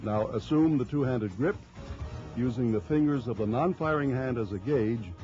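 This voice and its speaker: An American man reading scripted instructional narration for a training film in a measured, formal manner. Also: mid-range voice, mellow, soft background music. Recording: dull lo-fi training film soundtrack.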